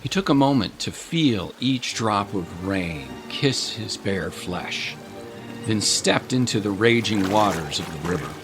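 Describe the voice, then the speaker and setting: A man narrating a scripted audio drama with measured, dramatic storytelling. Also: on the low side, lively intonation. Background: music.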